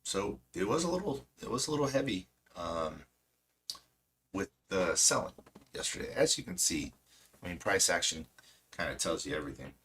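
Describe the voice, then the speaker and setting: A man hosting a stock trading stream, hoarse and losing his voice, chatty and self-mocking with a cracking voice. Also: low voice, big pitch swings, moderate pace, fairly bright tone, slight background noise. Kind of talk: casual market commentary.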